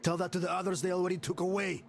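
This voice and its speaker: A man's voice: sits high, little pitch movement, very fast, creaky, soft background music. An actor playing a blunt, defiant, fed-up crewman in a post-apocalyptic video game. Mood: bitter defiance. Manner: blunt, sarcastic. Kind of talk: video game cutscene acting.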